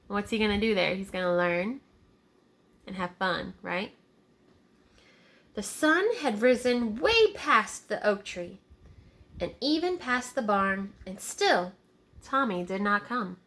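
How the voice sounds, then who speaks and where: A woman teacher reading a picture book aloud to young children, deliberate and child-directed, pausing to explain words and ask simple questions. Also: medium pitch, expressive, a bit bright.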